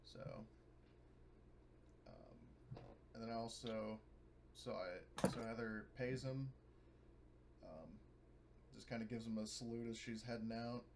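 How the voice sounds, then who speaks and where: A man's voice: on the low side, very animated, slow pace, vocal fry, pauses often. A man playing a tabletop game, chatting casually and thinking aloud in a hesitant way. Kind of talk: casual chat during a game. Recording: noisy microphone with clicks.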